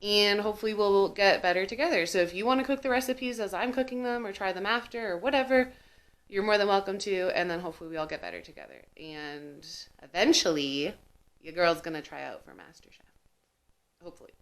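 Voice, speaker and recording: A woman's voice: mid-range voice, a little fast. A young woman vlogging about learning to cook, chatting casually to camera with many fillers while getting over a cold. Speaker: cooking vlogger.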